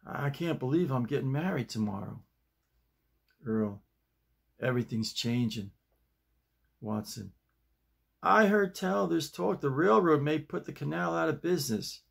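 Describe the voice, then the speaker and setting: An older man around seventy with a plain, halting delivery, talking and reading at his desk at home. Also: a little high, lively intonation, gravelly, husky. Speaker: YouTube host and playwright reading own work. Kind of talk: script reading and casual vlog talk. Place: small home office, indoors.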